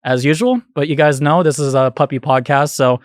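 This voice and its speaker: A man's voice: fairly high pitch, fairly quick, no room sound. Casual young male podcast host, dry sound. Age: 20s.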